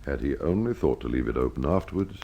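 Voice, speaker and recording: Very deep wry radio mystery narrator. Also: male voice, expressive, quick pace, gravelly, mellow, dull audio. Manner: genial, wry storyteller addressing the listener. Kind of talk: 1950s radio storytelling.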